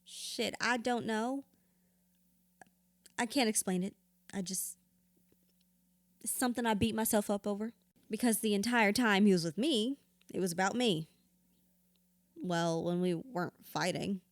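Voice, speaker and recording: A woman's voice: mid-range voice, a little slow, pauses often, no echo, clean studio sound, lightly acted. An actress playing a hurt, bitter widow confiding in her therapist in an audio drama, raw, blunt and sweary. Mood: subdued, frustrated. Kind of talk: audio drama acting, confessional dialogue.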